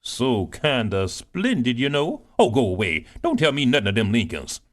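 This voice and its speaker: A proud older cook, put on by a male reader, pitched high and swooping wildly up and down as she bustles and brags. Scolding, lively and full of herself, like a storybook kitchen boss. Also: a little slow, exaggerated voice. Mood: boastful. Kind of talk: audiobook character reading.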